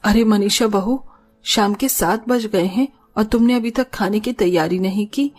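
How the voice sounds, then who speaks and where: A woman voicing a patient, concerned middle-aged mother-in-law who reproaches gently in a moral story. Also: medium pitch, measured pace, lightly acted. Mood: mildly reproachful. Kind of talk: animated moral story dialogue.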